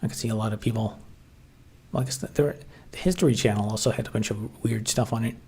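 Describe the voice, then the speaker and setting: A man's voice: on the low side, quick pace, a little mellow, breathy edge. A man hosting a casual livestream, reading chat and musing aloud with lots of fillers and restarts. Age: adult, grew up watching 1990s TV.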